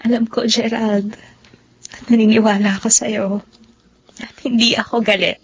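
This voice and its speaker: A woman playing a gentle, heartbroken young Filipino woman in love in a radio drama, speaking politely and earnestly. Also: on the high side, level tone, normal speed, slightly rough, no echo, low-quality recording. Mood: heartbroken, tender. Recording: music under the voice.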